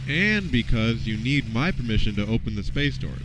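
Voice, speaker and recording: An actor playing a stern, skeptical starfleet admiral who speaks in a dry, pointed, authoritative way. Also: male voice, mid-range voice, sing-song, normal speed, close to an everyday voice, poor audio. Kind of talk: fan audio drama acting. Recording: music score underneath.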